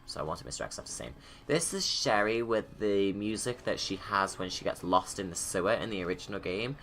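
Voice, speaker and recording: A man's voice: lowish voice, lively intonation, a little fast, fairly bright tone. A man giving informal, rambling creator's commentary on his own video, casual and off the cuff.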